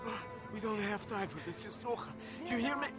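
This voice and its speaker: An actor playing a wounded, self-sacrificing Soviet soldier in a video game, strained with pain yet resolute. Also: male voice, sits high, expressive, fairly quick. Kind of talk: dramatic video game acting. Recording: loud music and gunfire behind.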